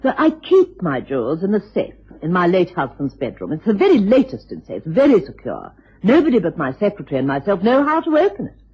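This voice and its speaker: Genteel aristocratic English widow, husky old recording. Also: female voice, fairly low pitch, wildly swooping pitch, fairly quick, exaggerated voice. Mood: composed, regretful. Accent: upper-class English. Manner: genteel, dramatic. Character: aristocratic English widow, dignified, formal, easily distressed. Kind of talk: radio drama acting. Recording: muffled, husky-sounding old radio recording.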